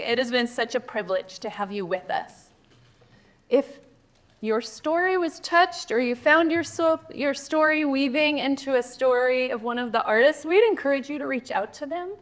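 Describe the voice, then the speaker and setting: Lady hosting a showcase, gracious intros, a bit high pitched. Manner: gracious, warm-worded, scripted introductions. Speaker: event host.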